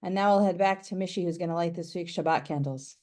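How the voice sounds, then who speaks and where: A woman's voice: fairly low pitch, quick pace, darkish tone. A woman hosting a video call, reading formal introductions in a polished way. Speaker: host.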